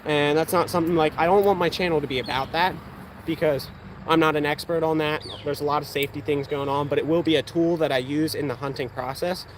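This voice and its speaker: Young man, Pennsylvania accent, upper-middle pitch, casual livestream host, faint outdoor noise behind. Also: steady tone, quick pace. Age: young adult. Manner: chatty, answers viewer questions while shooting.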